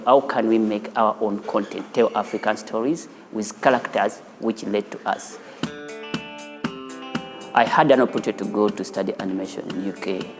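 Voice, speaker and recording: Low-voiced Ugandan man in a reverberant room. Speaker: animation studio founder being profiled. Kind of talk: interview and studio tour for a news feature. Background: music.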